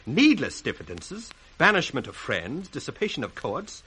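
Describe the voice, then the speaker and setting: Sly British schemer, wildly swooping delivery. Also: male voice, fairly high pitch, huge pitch swings, normal speed, pushed and bright, exaggerated voice. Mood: feigned concern. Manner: theatrical, sardonic, crisply declaimed. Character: scheming, mocking bastard son, sly and ambitious villain. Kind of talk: 1960s radio Shakespeare acting. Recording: faintly hissy 1960s radio recording.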